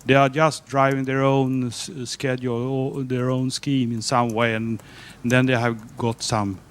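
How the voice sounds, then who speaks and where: A man's voice: medium pitch, level tone, unhurried, a little hiss or hum. A man contributing to a seminar discussion, hesitant, with many fillers and restarts. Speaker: seminar participant.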